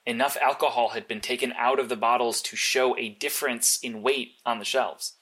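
Brainy vigilante planner, blunt and clipped, medium pitched everyday voice, audio drama. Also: male voice, fairly even intonation, moderate pace, fairly bright tone, breathy edge, poor audio. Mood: matter-of-fact. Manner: matter-of-fact, clipped, insistent. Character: blunt, analytical, sleepless male vigilante mastermind. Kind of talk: naturalistic audio drama dialogue.

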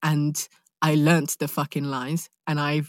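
A woman's voice: low-pitched, little pitch movement, measured pace, dry recording, studio quality. A young woman with a London accent chatting casually and expressively, telling stories in a candid, slangy way. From London, England. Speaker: podcast guest, actor. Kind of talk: casual chat, animated storytelling.

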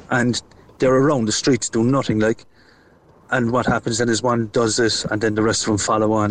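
Man, voice note caller, low flat voice, rambling, faint noise behind. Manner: flat, rambling, "like" and "you know". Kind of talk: voice note to radio show.

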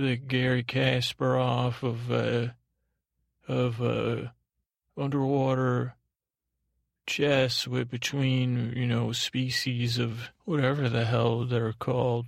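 A man with a heavily creaky, gravelly voice of medium pitch rambles through an absurdist bedtime monologue. He halts and doubles back on phrases as a podcast host, recorded close and very dry. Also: dry recording. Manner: meandering, halting, circling back on phrases.

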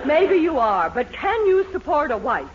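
High-voiced, swooping, stern radio mother. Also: very animated, normal speed, some chatter behind. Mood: scolding, firm. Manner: emphatic, scolding. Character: stern, sharp-tongued, disapproving middle-aged mother of a sweetheart. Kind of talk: 1940s radio comedy acting. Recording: dull old radio recording, audience, hiss.

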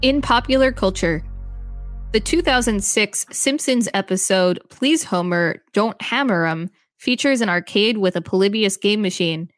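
A woman reads scripted article text as a podcast co-host. Her voice sits at a middle pitch, with faint music playing underneath. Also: animated, normal speed, dry recording.

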